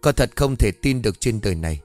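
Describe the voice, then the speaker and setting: A man's voice: lowish voice, expressive, fast, a little mellow, faint music behind, close to an everyday voice. A man doing an upright young disciple. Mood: indignant. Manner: indignant.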